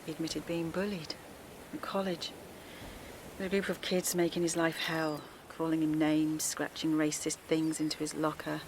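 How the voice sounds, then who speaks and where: A woman's voice: sits low, level tone, normal speed, noisy background. An actress playing a weary, worried middle-aged mother, confiding and emotionally strained in a naturalistic audio drama. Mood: bitter frustration. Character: Alice, a worn-out, worried mother of a teenage son.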